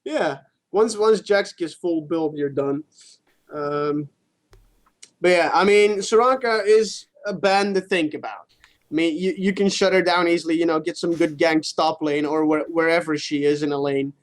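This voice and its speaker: A male gaming co-host with a noticeably high-pitched voice. He talks casually and haltingly, doubling back on his words and dropping in curses as he discusses play.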